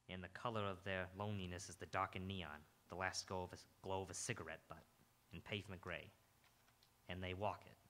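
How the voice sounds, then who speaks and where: A man's voice: deep voice, bright, forceful tone. A man playing a hard-boiled, world-weary police detective in a live radio noir drama, brooding and terse. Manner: terse, brooding, clipped questioning.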